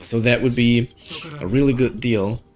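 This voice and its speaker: A man's voice: medium pitch, fairly even intonation, normal speed. A man talking casually as a game streamer, thinking aloud in informal, slangy language. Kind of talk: casual gaming stream chat.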